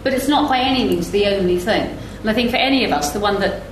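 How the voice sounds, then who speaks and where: A woman's voice: medium pitch, fast talker. A woman speaking as a panellist in an informal discussion, making her points emphatically. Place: echoey room at a live event. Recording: echoey room, faint noise.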